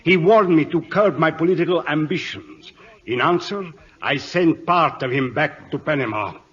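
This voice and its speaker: An actor in an old-time radio drama playing a grandiose, tyrannical Latin American warlord who thinks himself a god, imperious and menacing. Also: male voice, on the high side, animated, normal speed, a bit bright, breathy edge. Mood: smug menace. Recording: dull vintage radio broadcast.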